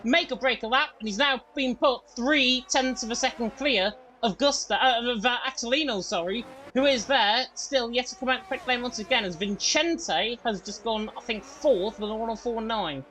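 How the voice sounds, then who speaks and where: A man calling a sim racing qualifying session, his voice a little croaky from being unwell. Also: very high voice, slight background noise, rough recording. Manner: fast, excited play-by-play. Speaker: lead commentator.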